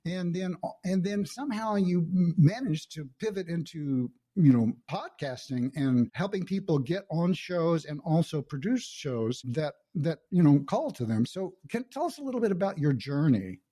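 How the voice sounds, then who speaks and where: An American man raised in the Midwest hosts a casual podcast chat. His voice sits a touch high but sounds warm and mellow, and he rambles through curious, easygoing questions. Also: animated, normal speed, few pauses. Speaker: podcast host. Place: Pacific Northwest home.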